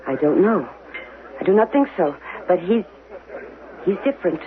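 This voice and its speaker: An actress playing an anxious mother in a regimented future society, speaking haltingly and stiffly formally in a radio drama. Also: on the low side, sing-song, narrow, tinny audio. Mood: worried, halting. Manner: halting, repeats words, stiffly formal without contractions. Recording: band-limited 1970s radio broadcast.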